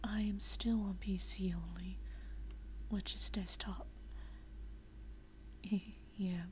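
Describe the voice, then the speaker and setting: A young woman whispering quietly at night in a living room, chatting unscripted with many fillers and restarts. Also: mid-range voice, fairly even intonation, slow, a little hiss or hum, low-quality recording. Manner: rambling with frequent fillers and restarts. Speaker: VRChat vlogger. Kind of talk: unscripted casual chat.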